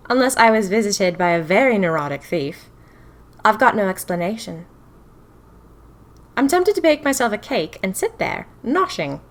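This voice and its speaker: Actress doing a chatty 1920s woman reading her spooky diary, theatrical and wry, mid pitched. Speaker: audio fiction narrator. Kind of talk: dramatic first-person diary narration.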